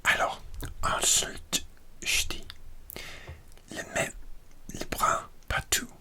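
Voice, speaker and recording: Guy whispering asmr style, super slow, very bright tone, trips on words. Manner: slow, careful whisper, hesitant over unfamiliar words. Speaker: learner presenting dialect rules.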